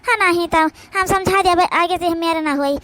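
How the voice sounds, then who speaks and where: A woman's voice: very high voice, normal speed, fairly bright tone. A woman voicing brash, indignant village daughters-in-law in an Awadhi cartoon comedy, scolding and arguing in a rustic manner. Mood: complaining.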